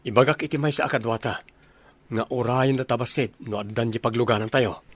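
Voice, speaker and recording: A man's voice: mid-range voice, fairly even intonation, a little fast, dry recording, close to an everyday voice. Man acting an earnest soldier, dry studio voice. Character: young soldier longing to go home. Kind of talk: radio drama acting.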